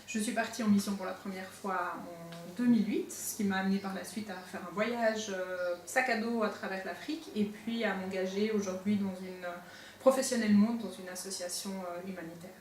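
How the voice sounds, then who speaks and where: A woman in her thirties speaks at a medium pitch in an echoey room, some way from the microphone. She gives a testimonial with frequent hesitant pauses. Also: fairly even intonation, darkish tone. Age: 30s. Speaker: humanitarian volunteer. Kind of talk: filmed testimonial interview. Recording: roomy, distant mic, faint noise.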